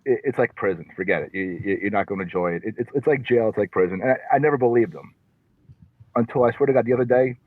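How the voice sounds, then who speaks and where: A man's voice: fairly low pitch, quick pace, dry recording. A man, a comedian guest chatting and joking, recorded in his car. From New York. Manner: joking, repeats words when starting. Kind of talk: casual chat. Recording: tinny phone-like sound.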